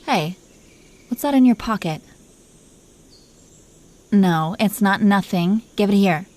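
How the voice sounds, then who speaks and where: A woman's voice: medium pitch, lively intonation, takes it slowly, darkish tone. A woman playing a possessive, teasing dragon queen in an intimate roleplay audio, commanding yet doting toward her husband. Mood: playfully prying. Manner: playful, commanding, mock-sweet.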